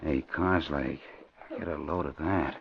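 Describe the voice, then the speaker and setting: A man's voice: very deep voice, measured pace, creaky, heavily acted. An actor playing a wary, bossy male racketeer in a 1940s radio drama, speaking in short, clipped orders. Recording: dull hissy old radio recording.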